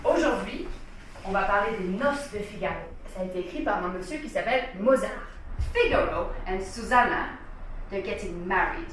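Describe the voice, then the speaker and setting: A woman tells an opera story to children in a lively way, hopping between two languages. She is recorded from a distance in a very echoey room. A faint room hum sits under her voice. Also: medium pitch, brisk. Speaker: children's workshop teacher.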